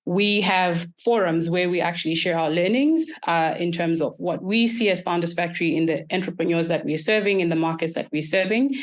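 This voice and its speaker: A woman from Zimbabwe, answering podcast interview questions in a measured, structured way. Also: fairly low pitch. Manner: measured, structured, explains in lists. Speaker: guest, startup accelerator executive.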